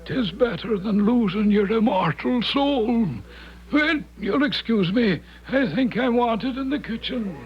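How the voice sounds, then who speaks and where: An actor playing an evasive, folksy country innkeeper with an Irish accent in a 1970s radio drama, his voice husky and high. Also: animated, unhurried. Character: evasive old-country Irish landlord of a country inn. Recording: dull lo-fi 1970s radio broadcast.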